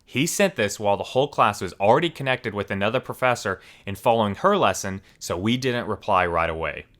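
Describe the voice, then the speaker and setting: A man hosting a story channel, reading forum posts aloud in a steady, even storytelling manner. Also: on the low side, fairly quick.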